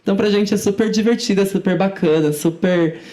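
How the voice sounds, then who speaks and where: Young woman, somewhat low-pitched informal speaker. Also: brisk, mellow. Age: 20s. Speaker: podcast guest, student activist. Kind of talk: conversational interview answers.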